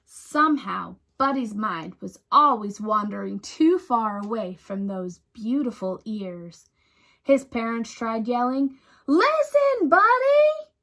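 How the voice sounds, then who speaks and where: A woman reading a children's storybook aloud like a teacher to young pupils, deliberate, emphatic and expressive. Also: medium pitch, vocal fry.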